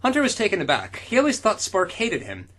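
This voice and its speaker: A man with a bright, forceful, raspy voice co-hosts a story reading. His pitch moves around a lot as he reads and riffs sarcastically on the writing. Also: wildly swooping pitch, brisk. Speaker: podcast co-host reading fan fiction aloud. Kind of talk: amused reading with sarcastic riffing.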